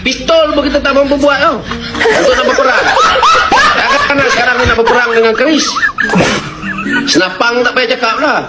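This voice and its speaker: Mocking Malaysian man over loud music. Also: extremely high pitch, moderate pace, pauses often. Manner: mocking, laughing, rhetorical. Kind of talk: heated speech.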